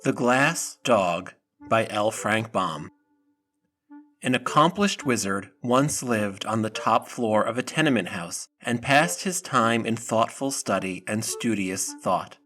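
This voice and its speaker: A man's voice: lowish voice, expressive, takes it slowly, bright, forceful tone, faint music behind. A man narrating a scripted audio drama in the manner of a storybook reading.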